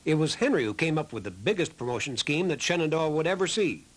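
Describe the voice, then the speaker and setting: A man's voice: fairly high pitch, very animated, quick pace. A man reading scripted narration as the narrator of a documentary. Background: music behind the voice.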